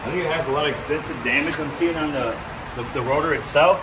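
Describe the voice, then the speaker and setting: Higher-voiced man, off-mic in noise. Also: fast. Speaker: trailer repair technician. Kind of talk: casual shop talk. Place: open-sided covered outdoor boat trailer yard. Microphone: camera mic a few metres away. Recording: distant mic, clear shop background noise.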